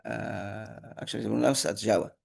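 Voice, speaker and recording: A man whose first language is Arabic, teaching an online programming class, halting with many fillers and restarts. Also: fairly low pitch, steady tone, vocal fry, breathy edge. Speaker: instructor. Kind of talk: online lecture.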